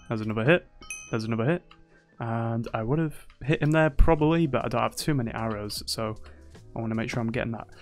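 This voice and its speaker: A man's voice: medium pitch, a little mellow. A young man giving casual, playful gameplay commentary as a gaming YouTuber, teasing opponents and boasting jokingly, his voice a little worn. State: slightly losing his voice.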